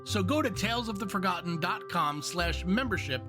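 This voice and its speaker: A man's voice: high-pitched, animated, normal speed, over music. A man reading a scripted podcast membership advertisement as announcer.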